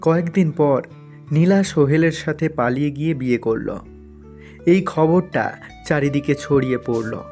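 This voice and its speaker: Male narrator for cartoon tales, slightly high pitch, scripted storytelling over faint music. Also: a little slow, darkish tone.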